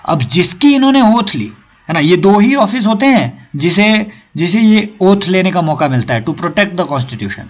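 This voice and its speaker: A young man with a hoarse throat teaching an exam-prep lecture, repeating key points and checking that his listeners follow. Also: high voice, big pitch swings. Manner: mixes Hindi with slow English phrases. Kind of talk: recorded lecture.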